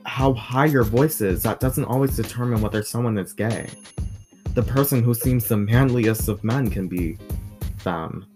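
A teenage boy with a deep voice, hosting his own vlog in a chatty, blunt rant that swears freely. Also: moderate pace, a little mellow, faint music behind. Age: teens. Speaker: vlog host. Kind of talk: casual ranting monologue.

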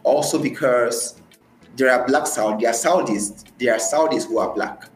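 A man's voice: mid-range voice, normal speed. A man from Nigeria with a Nigerian accent, talking as a podcast guest about his experiences and often repeating phrases for emphasis. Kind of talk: conversational interview, recounting personal experience. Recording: roomy echo, faint music.

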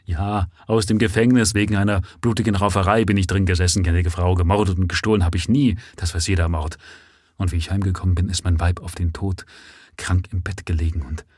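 A man's voice: sits low, steady tone, fairly quick, darkish tone, slightly rough, no echo, studio quality. A man voicing a gaunt, pale widowed father just out of prison, pleading in a trembling, urgent voice.

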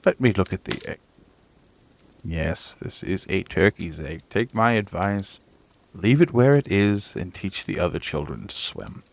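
A man voicing a bossy, know-it-all old duck in a storybook reading, slow and advising. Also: low voice, lively intonation, creaky, exaggerated voice.

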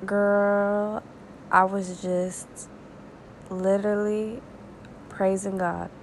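Woman podcast preacher, stuffy and raspy from crying; gravelly, slow, earnest delivery. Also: mid-range voice, flat delivery, a little hiss or hum. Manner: earnest, conversational, slow and prayerful. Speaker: devotional podcast host. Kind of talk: informal devotional talk and prayer.

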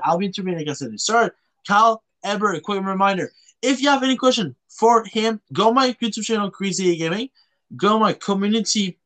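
Higher-pitched young-sounding male podcast host, halting. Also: a bit bright. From Canada. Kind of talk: casual podcast chat.

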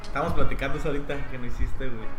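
A man's voice: mid-range voice, fairly even intonation, rapid-fire, roomy sound, music in the background. A man, hosting a casual podcast chat among friends, joking and cutting in often. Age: 30s. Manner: joking, interrupts, laughs often. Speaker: podcast host.

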